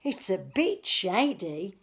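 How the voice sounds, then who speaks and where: A woman's voice: mid-range voice, very animated, slow, vocal fry, husky, exaggerated voice. A woman voicing a friendly but fussy duck from a children's storybook, sing-song and emphatic.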